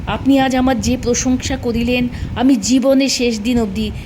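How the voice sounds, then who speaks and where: Woman reading as old male pleader, humble, earnest, deferential, formal storybook dialogue, raised pitch, noisy recording. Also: fairly even intonation, moderate pace, lightly acted. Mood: humble, moved.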